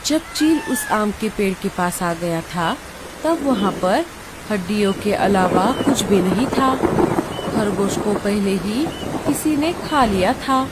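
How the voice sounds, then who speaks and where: A woman's voice: medium pitch, animated, slow, heavy background noise. A woman reading scripted narration for a children's cartoon story.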